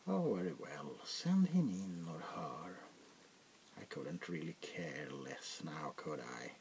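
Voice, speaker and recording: An aristocratic male vampire prince, played by a man speaks softly for an ASMR roleplay. His low, creaky voice swings expressively and often sinks to a whisper. He is languid, jaded and mockingly polite. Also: slight background noise, exaggerated voice. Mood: bored, courteous. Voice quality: gravelly, often dropping to a whisper. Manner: languid, world-weary, mockingly courteous. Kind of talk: soft-spoken ASMR roleplay.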